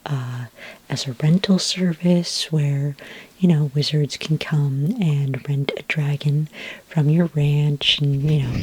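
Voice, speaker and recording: Soft, breathy low-voiced woman in ASMR roleplay. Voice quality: soft, breathy, mostly whispery. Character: patient, practical dragon ranching expert advising a beginner. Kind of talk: soft-spoken ASMR roleplay, conversational advice to the listener. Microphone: close microphone, dry sound.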